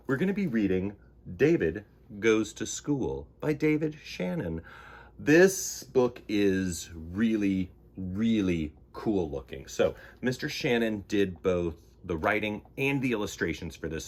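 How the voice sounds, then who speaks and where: Animated, breathy male storyteller. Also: mid-range voice. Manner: friendly, animated and unhurried, addressing kids directly. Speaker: children's storyteller and host. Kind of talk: reading a picture book aloud to children.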